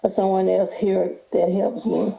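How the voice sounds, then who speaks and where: A woman in her fifties calling in to a talk show, hesitant and soft-spoken, often asking to have questions repeated. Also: medium pitch, flat delivery, normal speed, gravelly. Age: 50s. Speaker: caller. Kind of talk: phone call to a talk show. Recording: dull phone line. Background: children.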